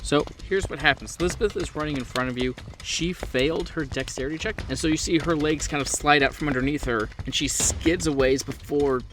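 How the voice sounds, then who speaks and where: A man's voice: on the high side, a little fast, few pauses. A man running a tabletop horror game as game master, narrating scenes off the cuff. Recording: clear boat engine ambience behind.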